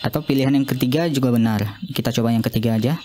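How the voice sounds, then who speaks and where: A young man narrating an informal software demo as a student, speaking haltingly and repeating himself. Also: mid-range voice, a little hiss or hum. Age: young adult. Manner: halting, repetitive. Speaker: student demonstrating own app.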